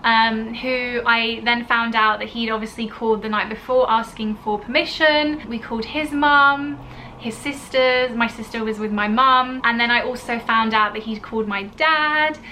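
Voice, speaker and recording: Young woman, higher voice, bright and crisp tone, chatty and gushing, lots of fillers. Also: a little hiss or hum. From born in Italy, grew up in the UK. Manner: chatty, gushing, full of "like" and "you know", acts out quotes. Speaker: vlogger. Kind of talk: casual storytime chat to camera.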